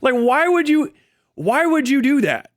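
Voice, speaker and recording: A man's voice: very high voice, big pitch swings, a little slow, no echo, clean studio sound. A man hosting a livestream, giving casual, profanity-laced commentary in an exasperated, incredulous, argumentative manner. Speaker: livestream host reviewing own debate.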